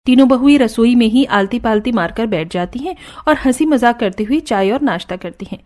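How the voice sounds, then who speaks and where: A woman giving scripted narration for a cartoon story in an even, descriptive way. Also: on the high side, fairly quick.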